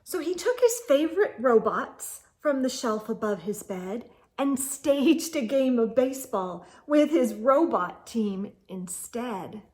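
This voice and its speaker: A woman reading a picture book aloud to children in an animated, dramatic way, with hushed asides to her listeners. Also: a little high, slow.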